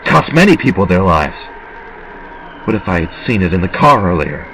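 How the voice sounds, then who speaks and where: A man's voice: low-pitched, very animated, normal speed, a bit bright, slight background noise, full character voice, low-quality recording. A man playing an earnest, desperate survivor hunting a killer in an audio drama, dramatically thinking aloud. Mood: grim, brooding.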